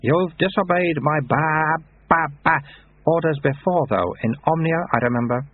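A man's voice: fairly high pitch, moderate pace, pitched above the performer's own voice, natural voice. A man doing a babbling baby abbot. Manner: breaks into baby babble mid-sentence. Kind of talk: audiobook character reading. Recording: dry, tinny phone-like sound.

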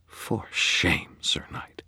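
A man's voice: on the low side, very animated, a little slow, breathy edge, no echo, voice pushed up, exaggerated voice. A man voicing a sly, seductive female fairy who purrs and bargains with teasing whispers. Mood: mocking. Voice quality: purring, teasing, whispery at times. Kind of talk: audiobook character voice.

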